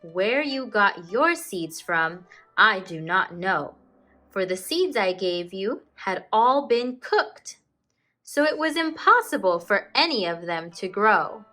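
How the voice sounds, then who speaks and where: A woman's voice: mid-range voice, big pitch swings, bright, forceful tone, exaggerated voice. Casting: woman as storybook emperor, male ruler, stately and approving, emphatic proclamations over music. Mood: solemn, approving. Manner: grand, emphatic, measured proclaiming. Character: proud, approving emperor, grown man, proclaiming.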